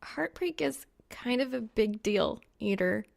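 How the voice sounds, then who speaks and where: A woman's voice: fairly high pitch, lively intonation, a little slow, creaky, pitched above the performer's own voice, exaggerated voice. A woman voicing a playful, sleepy young female gamer with a light, casual lilt in an audiobook. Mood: amused, sage.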